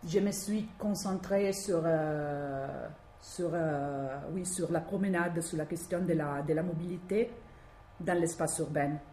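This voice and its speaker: A woman from Italy speaking with a strong Italian accent, giving an academic talk and often pausing to search for words. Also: low-pitched, warm tone. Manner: halting, searching for words with many fillers. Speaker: guest lecturer. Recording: echoey room, faint background noise.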